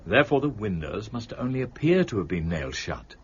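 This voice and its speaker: An actor playing a reclusive, brilliant gentleman detective in a period mystery drama, with precise, formal, analytical speech. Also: on the low side, sing-song, fairly quick, husky, lo-fi sound, exaggerated voice. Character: reclusive, brilliant gentleman amateur detective, weary then energized.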